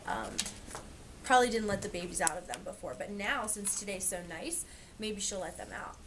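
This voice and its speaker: Woman, slightly high voice, children's read-aloud, explanatory teaching manner, faint background noise. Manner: explanatory, asking and pointing things out to listeners. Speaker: reader teaching young children.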